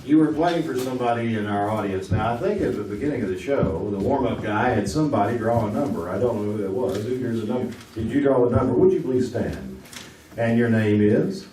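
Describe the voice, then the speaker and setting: A man's voice: mid-range voice, expressive, brisk, dark tone. A man hosting a live game show in a jokey, wisecracking manner. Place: audience hall with a stage. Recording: roomy, noisy recording from far off.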